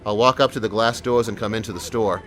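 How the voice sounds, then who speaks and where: A man playing a calm, sincere and determined police sergeant in an audio drama. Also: on the low side, quick pace, slight background noise, natural voice. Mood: calm, persuasive.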